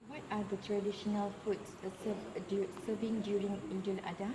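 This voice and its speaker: A woman interviewer asking questions in a halting, hesitant way. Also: mid-range voice, flat delivery, loud music behind the voice. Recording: echoey hall with background noise.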